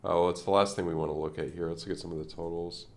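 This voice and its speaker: Low gravelly man, nasal and congested. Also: fairly even intonation, rapid-fire, a little mellow. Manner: rambling, thinking aloud with many fillers. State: congested from a sinus infection. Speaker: crypto trading streamer. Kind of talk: casual live-stream chart commentary.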